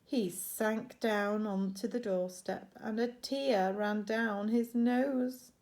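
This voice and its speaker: A woman reading a children's storybook aloud expressively, with dramatic pauses and chatty asides. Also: medium pitch, steady tone, darkish tone.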